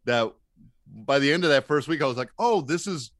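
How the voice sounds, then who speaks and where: A man's voice: mid-range voice, animated, fairly quick, a bit bright, no echo. Guy comic on a podcast, medium voice, wry casual stories, does the dialogue, dry close sound. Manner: wry, self-deprecating, acts out quoted dialogue. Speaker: podcast guest, comedian. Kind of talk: casual comic storytelling chat.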